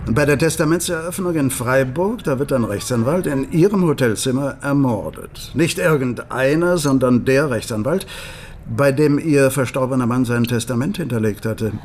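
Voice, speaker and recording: A man's voice: medium pitch, normal speed, natural voice. Actor doing polite nosy police inspector in a radio crime play, official, bit hesitant, mid male voice, faint noise. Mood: insinuating, persistent. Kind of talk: radio crime drama acting.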